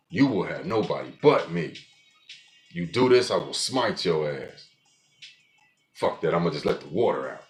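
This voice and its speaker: A man in his forties talking alone on his podcast in a blunt, profane, rambling way, often restarting his sentences. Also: lowish voice. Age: 40s. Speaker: podcast host. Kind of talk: casual monologue.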